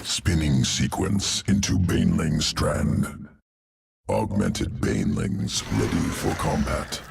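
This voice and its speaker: A man's voice: a bass voice, big pitch swings, slow pace, gravelly, slight background noise, full character voice. A man voicing a cold, clinical male alien evolution master in a game cutscene, speaking in terse clipped fragments. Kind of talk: video game cutscene acting. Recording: distant game audio with loud music.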